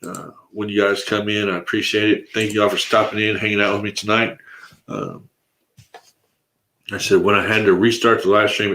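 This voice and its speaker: A man's voice: fairly low pitch, a bit bright. A congested man hosting a casual live stream, talking in a rambling, laid-back way with lots of fillers. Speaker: livestream host. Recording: roomy echo.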